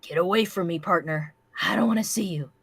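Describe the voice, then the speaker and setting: A woman's voice: on the low side, a little fast, gravelly, breathy edge, dry recording. A woman voicing an earnest, defiant, wounded male cartoon pony in a story reading. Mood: wounded defiance.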